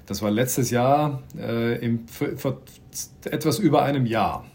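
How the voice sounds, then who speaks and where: A middle-aged man from Austria, a ship captain, chatting in an interview while searching for words with many pauses and restarts. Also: mid-range voice, darkish tone. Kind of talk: casual interview answers.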